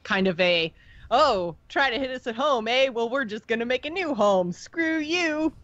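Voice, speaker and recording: Chatty gal co-hosting a game lore podcast, super casual, dry room, bit muffled sound. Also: female voice, a little high, lively intonation, normal speed, a bit bright, no room sound. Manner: chatty, informal. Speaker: podcast co-host.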